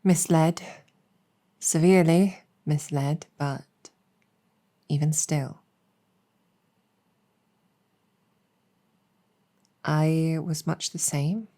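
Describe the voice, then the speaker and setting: A woman voicing a weary, earnest demon general in an intimate audio roleplay, speaking reflectively with hesitant pauses. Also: low-pitched, fairly even intonation, dark tone.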